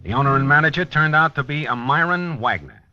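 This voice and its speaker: An actor playing a plain-spoken, probing police lieutenant in 1950s radio drama style. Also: male voice, fairly high pitch, animated, fairly quick, faint music behind, close to an everyday voice. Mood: matter-of-fact narrating. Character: dogged male police lieutenant investigating a homicide. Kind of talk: 1950s radio acting, narration and dialogue. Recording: dull 1950s radio recording.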